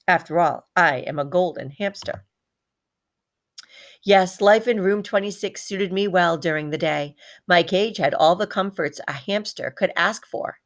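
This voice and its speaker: A woman reading a children's story aloud in an expressive, animated manner. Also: deep voice.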